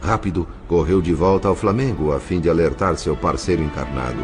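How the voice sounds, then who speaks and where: A man narrating an audio novel from a script, with a measured, literary, dramatic delivery. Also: deep voice, over loud music.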